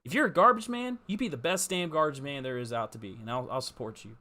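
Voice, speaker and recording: A man's voice: medium pitch, quick pace, sharp tone. A man co-hosting a casual live football talk show, speaking in an earnest, emphatic and informal way. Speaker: podcast co-host.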